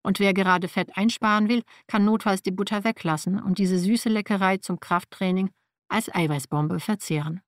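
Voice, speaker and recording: A woman's voice: mid-range voice, steady tone, moderate pace, no echo, clean studio sound. A woman whose first language is Slavic reads a personal nonfiction text aloud in a wry, matter-of-fact way. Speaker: narrator.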